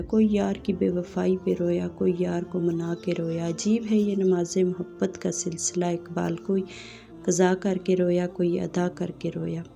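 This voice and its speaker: A woman's voice: lowish voice, gravelly, mellow. A woman reciting poetry and quotes as the narrator of her own channel.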